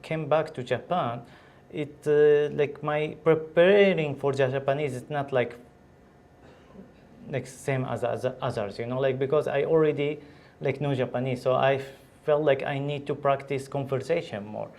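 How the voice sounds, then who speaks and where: Syrian male doctor, English, halting casual interview answers. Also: medium pitch, gravelly, a little mellow. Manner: hesitant, many restarts and fillers. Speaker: guest, physician.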